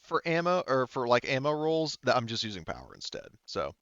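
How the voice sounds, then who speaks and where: Guy running a tabletop rpg, smooth voice, rambles thru rules, kinda muffled audio. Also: mid-range voice, big pitch swings, a little fast, fairly bright tone, no room sound. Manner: rambling, talks in broken-off phrases with frequent 'like' and 'you know'. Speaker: game master. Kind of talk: explaining tabletop game rules and narrating combat.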